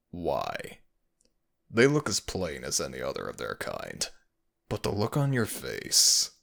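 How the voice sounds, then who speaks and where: A man playing a sadistic, mocking male fox spirit in an ASMR audio roleplay, speaking with slow, theatrical menace. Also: sits low, gravelly, fairly bright tone, heavily acted. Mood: amused, cruel.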